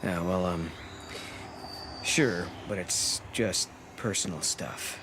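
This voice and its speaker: A man's voice: deep voice, slow pace, background noise. An actor playing a plain-spoken young male adventurer in a video game, talking in a casual, laid-back way. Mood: awkward, skeptical. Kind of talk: video game English dub acting. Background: music.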